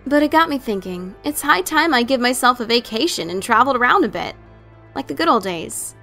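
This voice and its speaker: A woman's voice: a little high, animated, brisk, sharp tone, soft background music. A woman performs a breezy cartoon mare adventurer, self-satisfied and teasing. She delivers a casual, boastful letter as part of an audio story reading. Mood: breezy, smug. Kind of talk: dramatic reading of fan fiction.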